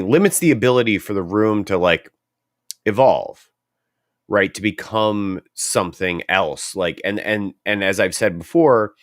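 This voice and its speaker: Deep-voiced man with a stuffy head cold. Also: dry recording. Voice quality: dry throat. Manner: reflective, thinks aloud with many fillers and restarts. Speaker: co-host. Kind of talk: casual panel discussion in a social audio room.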